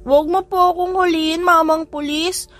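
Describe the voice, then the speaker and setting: A woman's voice: very high-pitched, steady tone, normal speed, a bit bright, faint music behind, voice pushed up. A woman voicing a playful little boy, one of the triplets, talking in a pleading child's voice. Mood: excited.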